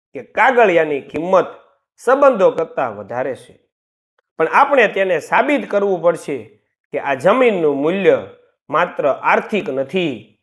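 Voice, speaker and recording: A man voicing a resolute elderly woman who leans on a walking stick and speaks slowly but firmly. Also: a little high. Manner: slow but firm.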